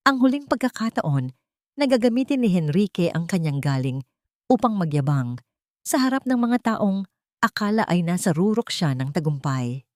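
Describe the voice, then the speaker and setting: A woman with a Filipino accent narrating a scripted dramatic story in a measured, theatrical way. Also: on the low side, very animated, darkish tone, dry recording, clean studio sound.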